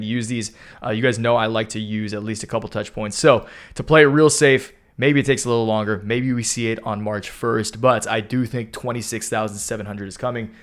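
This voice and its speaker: A young man with a fairly low voice, hoarse and losing it, who keeps stopping to clear his throat. He talks through market charts in a casual, pumped-up way, speaking into a close mic. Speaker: stock market video host. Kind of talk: casual chart analysis talk.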